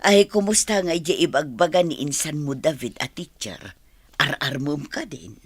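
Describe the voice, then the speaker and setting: Low-pitched, caring older mother. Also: expressive, moderate pace, breathy edge, no echo. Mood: practical. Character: older mother of a grown son, practical, caring. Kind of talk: radio drama acting.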